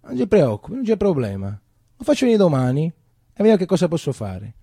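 An actor voicing a kindly, calm schoolteacher with a gentle, reassuring manner in a theatre monologue. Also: male voice, on the high side, fairly quick, breathy edge, no echo, studio quality, pitched above the performer's own voice, full character voice. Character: kindly, calm male teacher and partisan.

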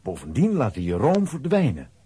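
Guy narrating kids audio story, really raspy n mellow, expressive, dry sound. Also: medium pitch, moderate pace, no echo. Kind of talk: scripted storytelling narration for children's audio play.

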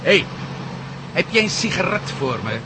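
A man's voice: high-pitched, big pitch swings, normal speed. A man playing a cheeky, defiant captive in a radio play, restless and insistently needling with questions. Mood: impatient, needling. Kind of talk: Dutch radio play acting. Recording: loud car noise behind.

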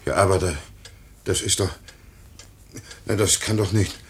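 A very husky, pleading older foreman. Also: deep voice, exaggerated voice. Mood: desperate pleading. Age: 50s. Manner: halting, stammering, repeating himself. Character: broken, pleading 58-year-old aircraft works foreman. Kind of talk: 1950s German radio play acting.

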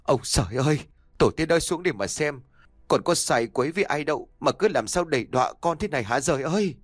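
A man voicing a desperate aging husband who pleads, shouts and sobs over his dying wife. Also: lowish voice, animated, normal speed, no room sound, studio quality. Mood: wailing. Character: desperate aging husband, grief-stricken and volatile.

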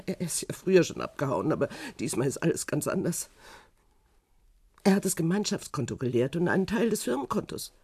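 Angry, animated betrayed wife. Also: female voice, low-pitched, fairly quick, breathy edge, dry recording, studio quality, full character voice. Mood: angry, upset. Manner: sharp-tongued, demanding. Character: angry, forceful betrayed wife, a big proud woman. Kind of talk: German radio crime drama acting.

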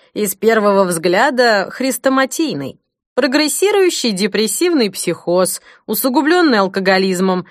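A woman voicing a confident male chief doctor in an audiobook, brisk, familiar and persuasive, explaining with emphasis. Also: on the high side, no room sound, studio quality. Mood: teasing, casual. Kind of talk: audiobook character dialogue.

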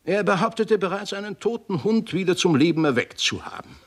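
Lively-pitched, scholarly old doctor. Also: male voice. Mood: animated explaining. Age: older. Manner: deliberate scholarly explaining. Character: friendly old doctor, skeptical, learned leper-clinic director. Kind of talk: 1960s German radio drama acting.

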